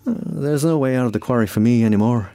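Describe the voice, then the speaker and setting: An eccentric ghost of an Irishman, acted out by a male narrator for an audiobook tale. He is pitched well up and talks in wordy, blithe evasions. His temper is mischievous and unbothered, and the sound is dry and close. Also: fast talker, dark tone, no echo, natural voice. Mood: bored, goading.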